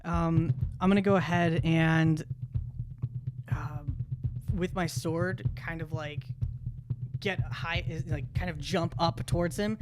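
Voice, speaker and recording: A man playing a tabletop role-playing game, casually improvising his character's actions and thinking aloud in a halting way. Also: fairly high pitch, steady tone, music in the background.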